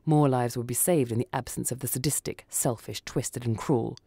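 A woman reading a short story for radio in a theatrical, deliberate, dramatic narrating style. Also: rumbling low, vocal fry, warm tone, slightly rough, dry recording.

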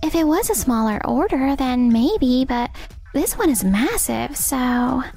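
Woman playing high-voiced young office worker. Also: very animated, unhurried, warm tone, soft background music, full character voice. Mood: worried, apologetic. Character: worried young female office worker, frank and practical. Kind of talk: manga dub character acting.

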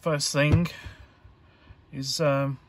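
A man giving an informal hands-on tutorial, talking in a halting, hesitant way as he thinks aloud. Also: a little high, level tone, a little hiss or hum. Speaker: electronics hobbyist presenter.

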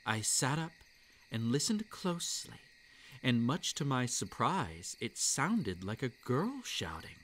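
A man voicing a possessive, gloating yandere kitsune monster boy in an intimate, hushed, menacing ASMR roleplay monologue. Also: medium pitch, big pitch swings, takes it slowly, slight background noise. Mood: hushed storytelling. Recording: night insect ambience behind the voice. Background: crickets and night insects.

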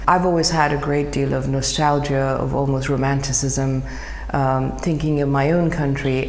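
A middle-aged woman from Iran with a low, gravelly voice talks about her work in a slow, reflective way, often pausing and restarting to find the right words. She is recorded in a roomy space with a long echo tail and a faint background hum. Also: a little mellow. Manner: thoughtful, searching for words, many fillers and restarts. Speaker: photographer. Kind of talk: reflective interview monologue.

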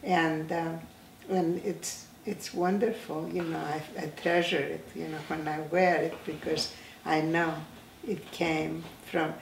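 A woman in her eighties from Greece, giving an oral history interview, talking slowly with many halting restarts. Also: deep voice, level tone, vocal fry, a little hiss or hum. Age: 80s. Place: indoor room, seated in a chair. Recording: roomy, slightly distant sound.

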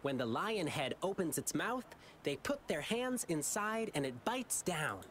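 A woman's voice: fairly low pitch, very animated, measured pace, slight background noise. A woman playing an eager, earnest young lion dancer boy in a video game, cheerfully chanting blessings. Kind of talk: English video game voice acting.

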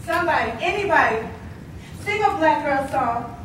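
A woman's voice: high-pitched, animated, normal speed, a bit bright. A woman acting an earnest figure who recites poetry in a theatrical stage style. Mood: urgent. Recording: echoey hall, far off, noisy.

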